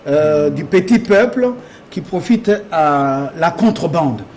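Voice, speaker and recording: Probing male interviewer, slightly high voice. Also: animated, slow, a little mellow, slight background noise. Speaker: interviewer host. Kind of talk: interview questioning.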